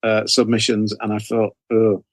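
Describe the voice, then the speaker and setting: A man's voice: lowish voice, monotone, moderate pace, dry recording. A man giving casual interview answers in a hesitant way, often repeating and correcting himself as he explains. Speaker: interview guest, author and anthology editor.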